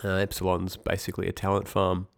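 A young man with a very low voice talks through a casual podcast. He sounds stuffed up from a cold. He rambles, backtracks and drops dry little asides. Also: monotone, fast talker, creaky. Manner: rambling, self-correcting, wry asides. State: congested with a cold. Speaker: podcast host. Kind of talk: casual esports news podcast.

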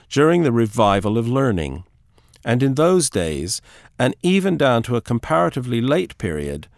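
A man narrates literary prose as an audiobook reader, with formal, carefully measured phrasing. His mid-pitched voice moves through wide, lively swings of intonation. The recording is close and dry, with no room sound around the words. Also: gravelly, no echo. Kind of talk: scripted literary narration, read aloud.

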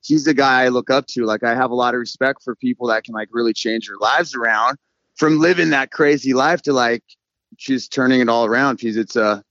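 A man's voice: mid-range voice, a little fast, a bit bright, no echo. Casual guest: middle-aged man from California, rambling, slightly muffled and dry-sounding. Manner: loose, rambling, full of "like" and "you know". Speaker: freestyle motocross rider, guest. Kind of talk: casual podcast conversation.

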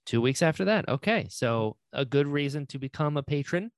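A man's voice: fairly high pitch, animated, moderate pace, no echo, clean studio sound. A man hosting a casual interview podcast, speaking enthusiastically and readily affirming his guest. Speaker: podcast host.

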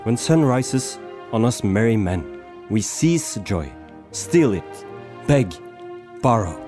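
A man's voice: fairly low pitch, big pitch swings, takes it slowly. A man playing a sentimental, solemn amateur poet from a rock band in a radio play. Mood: solemn, stirring. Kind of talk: amateur radio play acting, poetry recital. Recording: music behind the voice.

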